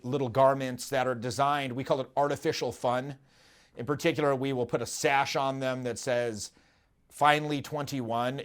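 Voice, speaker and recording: Animated storytelling man in a studio. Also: medium pitch. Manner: animated storytelling, acts out quotes. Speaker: dating coach and host. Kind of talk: casual podcast chat. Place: dark studio, indoors.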